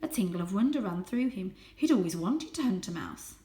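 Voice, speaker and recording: Woman, children's book narrator, expressive, dramatic, suspenseful, medium pitch, echoey room. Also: brisk. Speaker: storyteller, host of read-aloud series.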